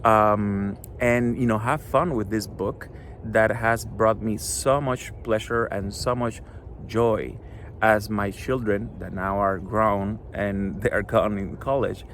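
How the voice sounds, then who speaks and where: A middle-aged man from Cuba, a Spanish native speaker with a Cuban accent, talks earnestly to a school audience about his children's book, pausing often with fillers. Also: fairly low pitch, fairly even intonation, fairly bright tone, a little hiss or hum. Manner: earnest, halting, many fillers. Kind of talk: recorded address to a school audience.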